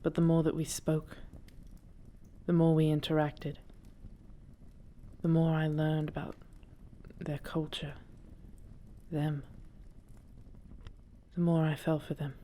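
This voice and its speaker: Woman voicing a dragon princess commander, audio drama, earnest, measured slow delivery, soft warm tone, solemn formal phrasing. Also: deep voice, completely flat delivery. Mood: tender, sincere. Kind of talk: scripted audio roleplay drama.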